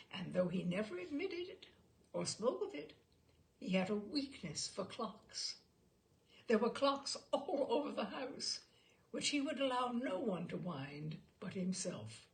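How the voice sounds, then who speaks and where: A woman reading a literary short story aloud expressively, with theatrical emphasis on key words. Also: medium pitch.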